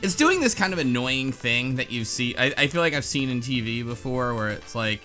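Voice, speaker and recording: Guy on a casual game podcast, really bright forward voice, bit of music under him. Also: medium pitch, very animated, moderate pace. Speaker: podcast co-host. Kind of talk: casual chat about a video game.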